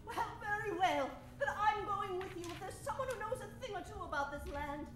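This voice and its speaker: An actress playing a plucky, anxious young adventuress in breathless, hesitant old-time radio melodrama. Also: female voice, very high voice, expressive, brisk, fairly bright tone. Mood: coy, plucky. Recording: roomy stage recording, music underneath.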